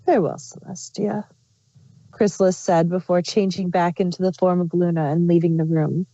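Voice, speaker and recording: A woman's voice: fairly low pitch, little pitch movement, brisk, mellow. A woman narrating fan fiction as an amateur story reader. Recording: muffled, roomy home recording.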